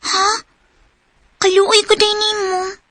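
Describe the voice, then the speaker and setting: A woman's voice: very high voice, level tone, slow pace, sharp tone, dry recording, heavily acted. A young woman playing a caring college student in a radio drama, chatting with sympathy. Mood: chatty. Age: young adult.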